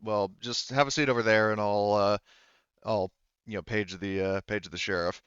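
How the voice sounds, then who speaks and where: A man voicing a polite, helpful female police receptionist in a tabletop game, with halting speech. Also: on the low side, steady tone, a bit bright, smooth, dry recording, lo-fi sound, natural voice.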